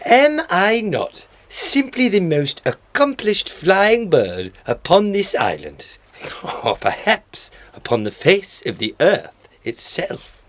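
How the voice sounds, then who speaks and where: A man's voice: sits high, big pitch swings, slow pace, heavily acted. A man playing a boastful, self-important male dodo in a radio play, pompous and theatrical. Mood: vain, exultant.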